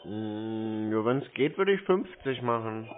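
A man's voice: lowish voice, expressive, slow, slight background noise. A man in a casual phone conversation, playing a customer who sounds hesitant, naive and questioning. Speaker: prank caller posing as a customer. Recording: tinny band-limited phone line.

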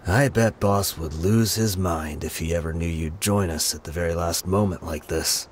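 An actor playing a grim, remorseful young desert mercenary in a video game, speaking in a solemn, measured way. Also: male voice, low-pitched, slight background noise, natural voice. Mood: wry.

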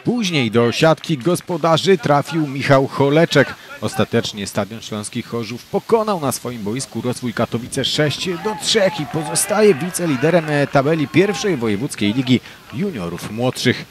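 A man giving scripted commentary over football match highlights. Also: lively intonation, moderate pace, a little hiss or hum.

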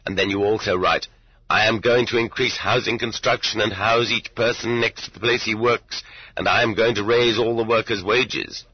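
A man's voice: fairly low pitch, steady tone, moderate pace, breathy edge, voice pushed up. A man voicing a mocking, condescending lieutenant colonel interrogator in an audiobook, with sarcastic, pointed questioning. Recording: dull lo-fi roomy cassette audiobook.

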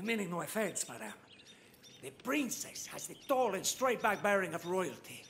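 Cartoon knight: very high-pitched, swooping, deluded and lovestruck, declaiming grandly over noisy ambience. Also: male voice, creaky, pushed and bright, heavily acted. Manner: bombastic, theatrical, florid.

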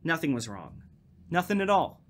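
An actor playing a skeptical but caring brother in a radio drama. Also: male voice, a little high, big pitch swings, moderate pace, a bit bright. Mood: skeptical concern. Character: Brian, skeptical but caring older-sibling type brother.

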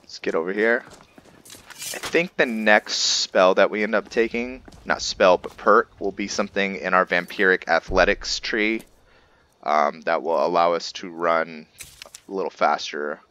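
A man giving roleplay game commentary, musing and pausing often as he talks. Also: sits low, fairly even intonation, pushed and bright, a little hiss or hum. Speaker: gameplay video host. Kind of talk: roleplay game commentary with some reading aloud.

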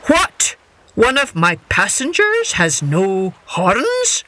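A woman voicing an outraged, commanding male ship captain in a children's story, speaking in imperious shouts. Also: medium pitch, huge pitch swings, takes it slowly, slight background noise, heavily acted.

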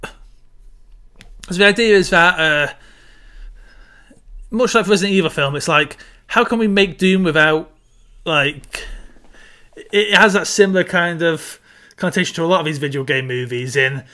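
A man in his thirties with a bright, slightly higher voice talks casually about a film on a home video call. He is chatty and wry and pushes back on his co-host when they disagree. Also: a little slow, pauses often. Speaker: podcast co-host. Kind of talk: casual film-review chat. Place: home room.

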